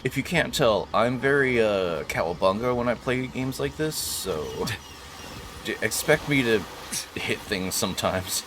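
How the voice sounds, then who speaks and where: A man's voice: mid-range voice, lively intonation, unhurried, noisy background. A man doing casual, joking let's-play commentary, chatting to himself and to game characters. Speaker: gaming streamer. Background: video game ambience.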